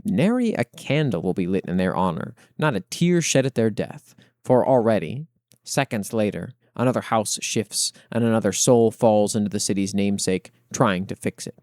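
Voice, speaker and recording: A man's voice: on the low side, animated, normal speed, a little mellow, no echo. Man reading fiction, close dry sound. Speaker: podcast host. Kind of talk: expressive reading of written fiction with spoken commentary.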